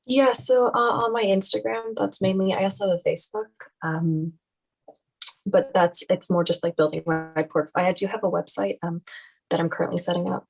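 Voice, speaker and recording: A woman's voice: fairly low pitch, gravelly, a little mellow, slightly rough, poor audio. A young woman in her teens chattily rambling through a casual introduction of herself and her creative work. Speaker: student actor and filmmaker.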